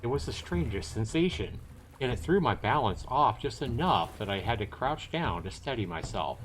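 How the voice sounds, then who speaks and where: A man's voice: medium pitch, animated, moderate pace, a little hiss or hum, low-quality recording. A man hosting a livestream, reading a visual novel aloud and commenting casually. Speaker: livestream host.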